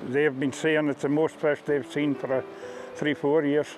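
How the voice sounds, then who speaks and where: A middle-aged man with a Scottish accent, working as a fishing ghillie, explains things informally in a halting, plain-spoken way. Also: a little high, level tone, music in the background, rough recording. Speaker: fishing ghillie on a river beat. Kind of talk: informal interview-style explanation of a fishing beat. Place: outdoors on a riverbank. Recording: outdoor ambient noise behind voice.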